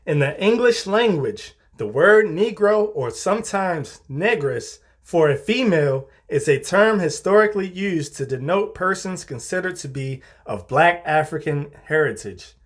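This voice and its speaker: A man reading scripted narration in a formal, measured way, like reference-book entries. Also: a little high, animated.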